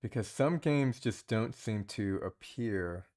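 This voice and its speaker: A man's voice: on the low side, lively intonation, slow pace, a little mellow. A man giving casual, rambling commentary while playing mobile multiplayer games, thinking aloud with many restarts. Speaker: gaming channel host.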